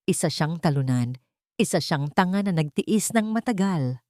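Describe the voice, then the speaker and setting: A woman reading a scripted dramatic story as narrator, in a measured and solemn storytelling manner. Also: lowish voice, lively intonation, darkish tone, no echo, clean studio sound.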